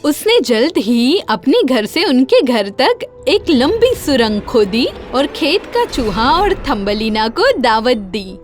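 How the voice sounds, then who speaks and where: A woman narrating a scripted fairy tale for children as the storyteller. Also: on the high side.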